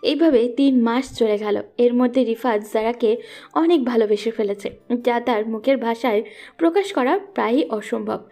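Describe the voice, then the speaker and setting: A woman's voice: on the high side, moderate pace, dark tone, faint music behind. A woman narrating a scripted romantic story as the storyteller.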